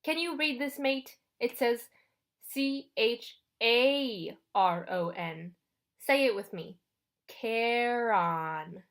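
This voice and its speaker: A woman voicing an elegant, sinister male ferryman of the dead in an audiobook, with a British accent and a smooth, condescending drawl. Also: on the high side, slow pace, voice pushed up.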